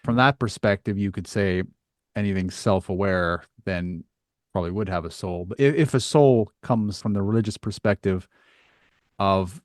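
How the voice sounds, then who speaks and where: A man's voice: lowish voice, darkish tone, no room sound, clean studio sound. A man hosting an interview in conversation, speaking thoughtfully and tentatively as he works through his phrasing. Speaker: podcast host.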